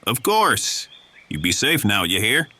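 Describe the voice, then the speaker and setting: Man playing a deep, rumbling, chipper businessman. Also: very animated, measured pace, bright, forceful tone, exaggerated voice. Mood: chipper, familiar. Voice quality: low, rumbling. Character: tall, chipper, overfamiliar businessman in his forties.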